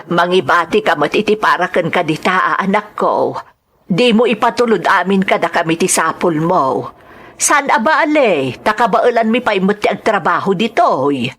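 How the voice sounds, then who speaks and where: A woman's voice: on the low side, expressive, normal speed, fairly bright tone, faint music behind. A woman with an Ilocano accent playing a caring, worried mother of a grown son in a radio drama. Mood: tender, advising.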